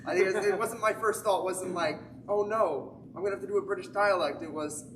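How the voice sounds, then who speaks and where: A man's voice: high-pitched, a little fast. A teenage boy, a student actor, speaking with laughing enthusiasm. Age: teens. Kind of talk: casual panel talk. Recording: roomy, distant mic, noisy.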